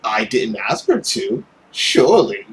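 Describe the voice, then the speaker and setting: A man's voice: lowish voice, sing-song, a little hiss or hum, voice pushed down. Man putting on a smug, swooping villainous nobleman. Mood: smug, indifferent. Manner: drawling, sarcastic, theatrical pauses. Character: smug, scornful villainous young nobleman, mocking and theatrical. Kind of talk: visual novel character acting.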